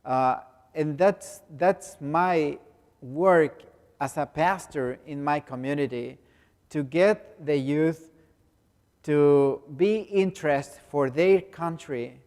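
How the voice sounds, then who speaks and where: A Salvadoran man with a Spanish accent speaks very slowly and haltingly, circling back over his own words. His voice sits somewhat high for a man. The recording carries the long reverb of a large hall. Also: a little mellow. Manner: slow, halting, many restarts and fillers. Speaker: pastor. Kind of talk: speaking to a congregation in non-native English.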